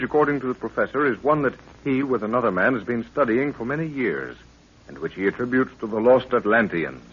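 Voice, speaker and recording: A man's voice: medium pitch, breathy edge. A man narrating a radio adventure serial in the solemn, portentous style of a 1930s announcer. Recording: dull lo-fi 1930s radio recording.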